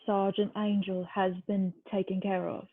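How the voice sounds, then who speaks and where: A woman's voice: medium pitch, level tone, normal speed. A woman voicing a scheming male village leader who calls and gives orders over a walkie-talkie, read on a video call. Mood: cold. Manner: urgent calling, then cold orders. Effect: walkie-talkie radio. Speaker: table read actor. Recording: tinny phone-like line.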